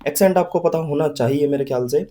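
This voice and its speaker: Mellow-voiced Hindi teacher with western UP accent. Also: male voice, fairly high pitch. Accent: western Uttar Pradesh Hindi with a Haryanvi touch. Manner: explains patiently, repeats mnemonics, reads English definitions slowly. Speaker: exam vocabulary teacher. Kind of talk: recorded classroom-style lesson.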